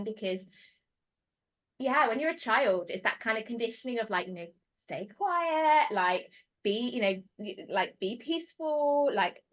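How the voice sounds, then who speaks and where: A woman with a British accent talking casually as a podcast guest, animated and expressive with quick bursts of speech. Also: mid-range voice. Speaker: podcast guest, transformation mentor.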